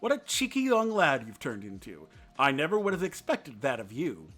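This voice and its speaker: A man's voice: mid-range voice, huge pitch swings, normal speed, a bit bright. A man reading aloud and voicing an older, limping male deer who talks in a genteel but contemptuous way. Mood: sneering, contemptuous.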